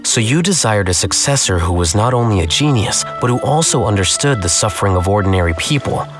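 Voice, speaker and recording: An actor playing a cool, analytical young scholar in a game, speaking with composed, probing articulation. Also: male voice, lowish voice, expressive, a little fast, close to an everyday voice. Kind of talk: dramatic game voice acting. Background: background music.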